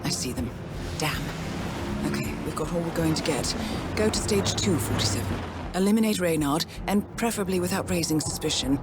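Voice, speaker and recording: A woman playing a composed, shrewd assassin's handler in a video game, crisp, controlled and faintly wry. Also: low-pitched, animated, fairly quick, over loud music. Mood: cool, commanding. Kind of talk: video game cutscene acting.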